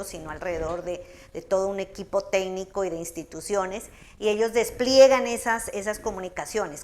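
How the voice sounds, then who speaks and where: A woman, an author, explaining patiently to an audience and often checking in with a "¿no?". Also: medium pitch. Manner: measured, explanatory, frequent "¿no?" tags. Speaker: book author in a public talk. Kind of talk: explanatory talk answering audience.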